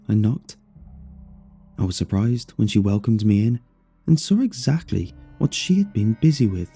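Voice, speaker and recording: A man with a low, deeply mellow and slightly husky voice narrates a scripted horror story in the first person. His delivery is measured and expressive, with lively rises and falls in pitch. Faint music plays behind him.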